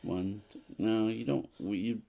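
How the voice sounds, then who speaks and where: Low, gravelly man, slow and casual. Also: fairly even intonation, mellow. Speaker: co-host of a board game playthrough. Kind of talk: casual chat while playing a board game.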